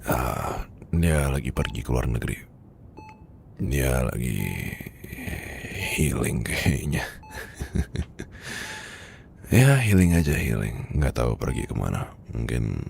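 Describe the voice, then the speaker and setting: Very deep, gravelly, weary Indonesian boss, acted by a man. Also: sing-song, a little hiss or hum, heavily acted. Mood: flustered, evasive. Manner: hesitant, murmuring, halting, sighing. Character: weary, heartbroken male boss confiding from sickbed. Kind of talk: ASMR roleplay.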